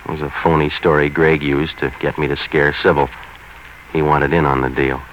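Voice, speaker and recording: Very deep-voiced hard-boiled radio detective. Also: male voice, moderate pace. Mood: dry, matter-of-fact. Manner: terse, slangy, deadpan. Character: hard-boiled male private investigator, world-weary and cynical. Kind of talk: 1940s radio noir narration. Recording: muffled, hissy 1940s radio recording.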